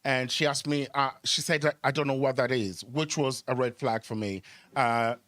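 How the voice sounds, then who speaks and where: A man's voice: medium pitch, fairly even intonation, normal speed, fairly bright tone, dry recording. A man from Kenya doing conversational stand-up on a club stage microphone, hesitating and repeating words as he goes. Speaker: stand-up comedian. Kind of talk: live stand-up comedy, conversational storytelling with crowd work.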